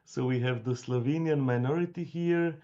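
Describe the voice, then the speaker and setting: A man's voice: mid-range voice, moderate pace, dark tone. A man from Austria, a psychotherapist, asking a polite, hesitant question in an online Q&A and repeating words as he goes. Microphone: video call. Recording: muffled lo-fi video call audio.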